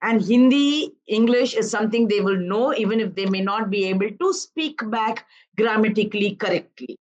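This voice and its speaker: A woman in her fifties from Karnataka, an activist guest making an earnest, measured argument on a live news panel. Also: medium pitch, muffled recording. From Karnataka, India.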